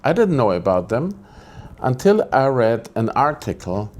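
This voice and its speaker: A man's voice: medium pitch, very animated, measured pace, a little mellow. A man from Greece, hosting a casual vlog update indoors, grumbling and rambling and correcting himself as he goes. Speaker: photography channel host. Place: indoors, air conditioning switched off.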